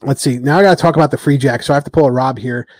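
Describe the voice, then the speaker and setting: A man's voice: mid-range voice, very fast, darkish tone, dry recording, studio quality. A man hosting a podcast, rambling through a rugby preview with lots of ums and joking trash talk. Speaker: podcast host. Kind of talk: casual rugby chat.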